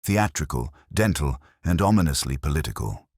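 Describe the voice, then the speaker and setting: A mild, perceptive inspector from a mystery story as a male narrator plays him. He speaks very low and gently, with wry understatement, in a dry, close recording. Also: sing-song, moderate pace, slightly rough, no room sound, heavily acted. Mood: wry, murmuring.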